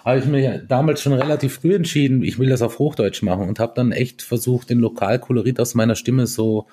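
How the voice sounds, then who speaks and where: A man from East Tyrol, a band musician, talking casually in an interview, relaxed and chatty with many fillers and restarts. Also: mid-range voice, brisk, husky. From East Tyrol, Austria.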